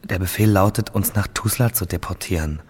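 A man's voice: low-pitched, brisk, breathy edge. An actor playing a grieving seventeen-year-old boy fleeing war, telling his story in a subdued, reflective radio drama monologue. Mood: subdued, anxious.

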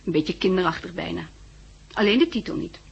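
An actress playing a poised, candid young society woman in a 1960s Dutch radio drama, soft and playful, with a Netherlands accent. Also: fairly low pitch, a little fast, vocal fry, breathy edge, muffled recording, full character voice. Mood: wry, confiding. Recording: vintage 1960s radio recording.

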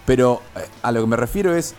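Casting: Argentine man, young, mid-pitched, rapid slangy delivery, casual panel debate. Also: expressive, a little mellow, soft background music. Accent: Argentine Rioplatense Spanish. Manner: argumentative, slangy. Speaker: radio show host. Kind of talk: casual radio panel chat.

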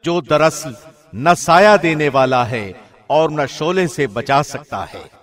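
A man reads translated scripture from a script. His delivery is formal, measured and solemn, in the manner of a radio presenter. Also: a little high, lively intonation. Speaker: radio host reading Quran translation.

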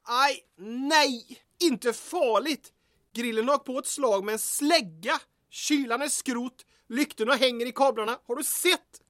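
An actress playing a worried, sardonic, practical sidekick in a comic audio drama, complaining wryly. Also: female voice, sits high, a bit bright. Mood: dismayed. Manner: wry, complaining. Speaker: actor in audio drama serial. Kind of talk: comic radio-play acting.

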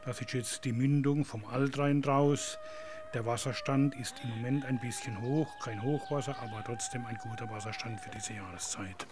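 A man who guides listeners outdoors by the river, explaining calmly in a field recording. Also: mid-range voice, moderate pace, heavy background noise. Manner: calm, explanatory. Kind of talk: field reportage.

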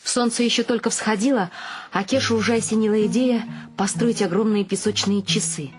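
A woman's voice: mid-range voice, steady tone, a little fast, soft background music, close to an everyday voice. An actress playing a wry wife who narrates her husband's escapades in a scripted radio play. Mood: wry storytelling.

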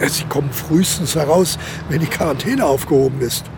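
A cold, commanding colonel, fairly high-pitched and gravelly, snapping out clipped orders in a radio drama. Clear outdoor noise with vehicles surrounds him. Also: male voice, a little fast. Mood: stern, matter-of-fact. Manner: curt, authoritative, clipped orders. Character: cold, commanding army colonel guarding a quarantine zone. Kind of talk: modern German radio drama acting.

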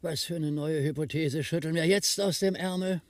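An actor playing a decisive, wry leader of a scheming crew in a radio drama. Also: male voice, fairly high pitch, moderate pace, lightly acted. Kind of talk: 1980s radio drama dialogue.

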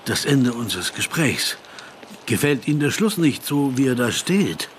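A meek male writer in a radio drama. His mid-pitched voice hesitates, repeats words and apologises eagerly, flustered and anxious to placate, with a faint ambience behind him. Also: big pitch swings, unhurried, a little hiss or hum. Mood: puzzled. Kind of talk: German radio play dialogue.

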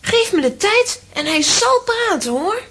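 An actress playing an excitable, stubborn little girl in a children's audio drama, exclaiming dramatically. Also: very high voice, measured pace, heavily acted. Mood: determined, bossy.

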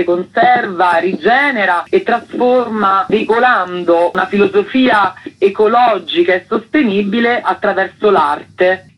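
A woman's voice: on the low side, husky. A woman speaking as an interview guest, explaining things in articulate, flowing sentences. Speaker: arts festival director, interview guest. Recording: dull, band-limited phone-in sound.

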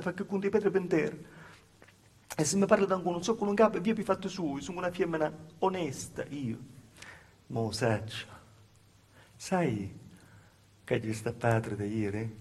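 A male stage actor with a Calabrian accent playing a simple, honest village woman in an intimate dialect monologue, confiding and halting, with repeated phrases. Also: sits high, vocal fry, darkish tone, husky, full character voice. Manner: confiding, halting, repetitive storytelling. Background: live music.

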